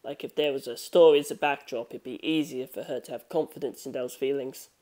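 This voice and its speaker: A man's voice: mid-range voice, steady tone, fairly quick, dark tone. A man reading scripted fiction as an audiobook narrator.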